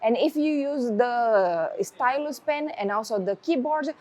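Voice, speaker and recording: A woman's voice: a little high, a little slow. Woman demoing a tablet, Portuguese-native. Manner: relaxed, explaining step by step, non-native phrasing. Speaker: tech reviewer. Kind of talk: casual product demo.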